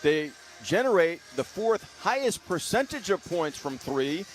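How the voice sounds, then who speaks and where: A man's voice: high voice, sing-song, measured pace, low-quality recording. A man hosting sports radio, delivering fluent, formal announcer commentary and wordy questions. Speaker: sports radio host and interviewer.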